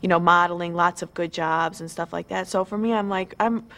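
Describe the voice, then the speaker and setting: An eager twenty-year-old woman talks fast and loosely in an interview, looping through fillers and restarts. Her voice sits a little low for a woman. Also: fairly even intonation, a bit bright. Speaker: model contestant. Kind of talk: pre-show interview.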